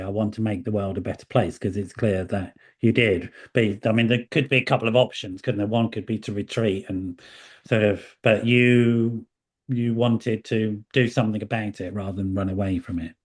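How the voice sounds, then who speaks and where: Chatty man hosting an interview. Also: lowish voice. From English Midlands. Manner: chatty and encouraging, many fillers. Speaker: podcast host.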